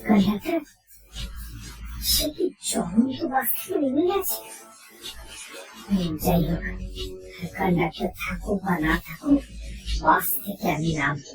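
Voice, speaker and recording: A woman telling a horror story in the first person, in a slow and tense manner. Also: medium pitch, big pitch swings. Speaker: narrator. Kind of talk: scripted horror story narration. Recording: echoey, distant, under music.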